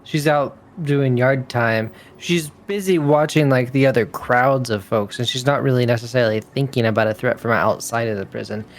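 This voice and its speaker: A man's voice: medium pitch, normal speed, hardly any pauses. A man chatting casually as a player in a tabletop game, informal and slangy in how he talks.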